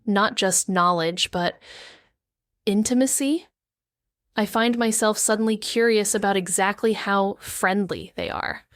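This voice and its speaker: A woman's voice: medium pitch, unhurried, no room sound, lightly acted. A cautious young woman detective, played by an actress, narrates in a wry, thoughtful first-person voice. The recording is close and dry, with no room sound. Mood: reflective. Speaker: narrator and lead character. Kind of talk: noir audio drama narration.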